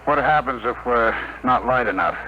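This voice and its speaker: A man's voice: mid-range voice, normal speed, close to an everyday voice. A man acting in a 1950s radio drama as a wisecracking, grumbling spaceship crewman with a wry, colloquial manner. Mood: uneasy. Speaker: radio actor. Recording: muffled, noisy old radio broadcast.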